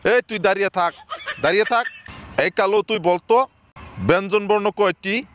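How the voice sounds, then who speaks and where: A man's voice: high voice, moderate pace, fairly bright tone, slight background noise. A man voicing a scolding, easily exasperated schoolteacher in a comedy cartoon.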